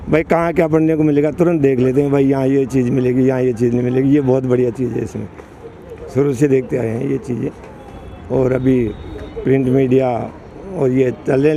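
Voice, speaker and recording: An older man in his sixties gives earnest, rambling answers in a street interview. His voice is mellow and relaxed, with faint city noise around him outdoors. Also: medium pitch. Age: 60s. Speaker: interviewee, longtime reader.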